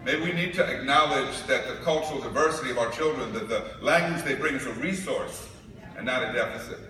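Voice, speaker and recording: A man's voice: medium pitch, a little fast. Man giving a keynote in an echoey hall. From New York. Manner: storytelling with humor. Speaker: keynote speaker. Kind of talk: conference keynote speech. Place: large conference hall. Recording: echoey hall recorded from far off, noisy.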